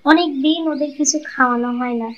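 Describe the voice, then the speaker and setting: A woman's voice: high voice, fast, muffled recording, natural voice. A woman voicing a selfish young village wife with a coaxing manner in a cartoon. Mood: sweet, coaxing. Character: selfish, greedy young village wife, sweet-talking but secretly resentful. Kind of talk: cartoon moral-story voice acting.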